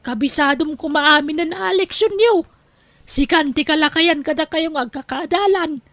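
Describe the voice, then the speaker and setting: A woman as a high-pitched scolding Ilocano mother. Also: moderate pace, a bit bright, no room sound. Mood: grumbling, fond. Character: scolding, nagging Ilocano wife and mother, demanding but doting. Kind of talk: Ilocano radio-style drama acting.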